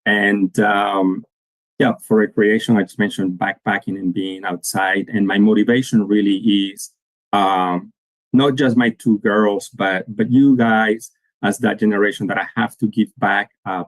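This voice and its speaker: Earnest man with a low voice. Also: steady tone, a little slow, no room sound, low-quality recording. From Colombia. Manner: earnest, repeats and restarts words often. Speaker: guest speaker. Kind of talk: conference presentation to students.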